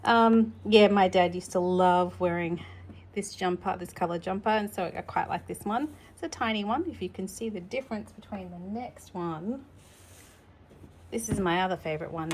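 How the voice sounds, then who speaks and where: A woman's voice: mid-range voice, moderate pace, darkish tone, a little hiss or hum. A middle-aged woman hosting a children's storytime, chatting casually and explaining things simply as if to a child. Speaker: storytime host reading children's books. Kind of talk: casual read-aloud with chatty asides.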